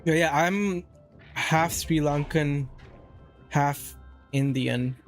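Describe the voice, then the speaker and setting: A man's voice: fairly high pitch, very slow, soft background music, low-quality recording. A man from Saudi Arabia casually chatting on a live stream, rambling and answering chat as he plays.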